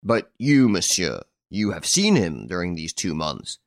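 Courtroom judge played by a male reader: impatient, nonplussed, demanding, low-pitched, storybook dialogue. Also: wildly swooping pitch, unhurried, dry recording, studio quality, heavily acted. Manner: exclaiming, demanding, interrogating.

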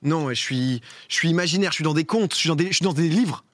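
A man playing a guessing game, thinking aloud in an excitable way and swearing as he goes. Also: on the high side, fast, no room sound. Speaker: game show contestant. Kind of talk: casual guessing-game chat.

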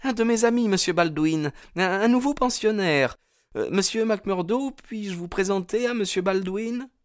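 A man voicing an anxious, gentle young woman who pleads in distress in an audiobook story. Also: high voice, normal speed, dry recording, voice pushed up, close to an everyday voice. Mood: flustered, polite.

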